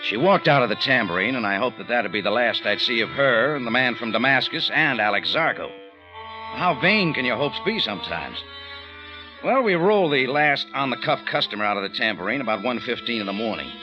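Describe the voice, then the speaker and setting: Music and a dull old radio sound surround an actor as a hard-boiled American man. He is wry and clipped, and his pitch moves around freely as he trades slangy remarks. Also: lively intonation, brisk, natural voice. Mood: weary, hard-boiled. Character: tough, wry male American cafe owner in Cairo. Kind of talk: 1940s-50s radio detective acting with narration.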